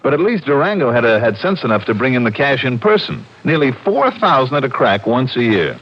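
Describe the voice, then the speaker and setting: Wry, animated radio detective. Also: male voice, mid-range voice, fairly quick. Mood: amused, animated. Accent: American. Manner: breezy, slangy, wry. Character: wry, hard-boiled male insurance investigator narrating his case. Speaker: lead actor and narrator. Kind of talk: old-time radio detective acting. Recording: muffled vintage radio recording with music.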